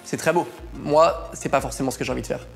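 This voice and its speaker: A man's voice: medium pitch, fast talker, vocal fry, soft background music. A man in his twenties speaking emphatically in an interview. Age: 20s.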